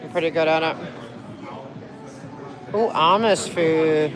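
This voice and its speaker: A woman's voice: low-pitched, takes it slowly. A young woman from New Jersey chatting casually on a livestream, rambling and reading viewer comments aloud in a restaurant. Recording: crowd chatter in a busy public place.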